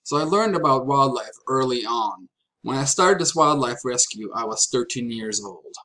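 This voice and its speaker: A man from Germany giving a prepared slide presentation as a graduate student, with deliberate, halting phrasing and pauses. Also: mid-range voice, expressive, bright, forceful tone, low-quality recording. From Franconia, Germany.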